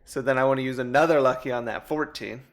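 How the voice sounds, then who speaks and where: A man's voice: mid-range voice, quick pace, slight background noise. A man talking casually as a player in a tabletop roleplaying game.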